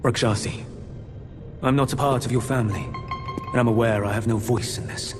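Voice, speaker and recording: A man's voice: medium pitch, fairly even intonation, brisk, lightly acted. A man playing a scheming, arrogant immortal suitor in a fantasy game, with formal, theatrical and courtly speech. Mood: grave, persuasive. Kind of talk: dramatic fantasy game acting. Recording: music behind the voice.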